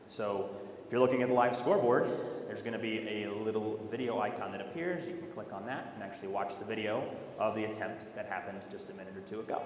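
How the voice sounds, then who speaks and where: Low-voiced man presenting in an echoey hall. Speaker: software presenter. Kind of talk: conference product presentation. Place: large dark conference auditorium, at a podium. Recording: echoey hall with faint noise.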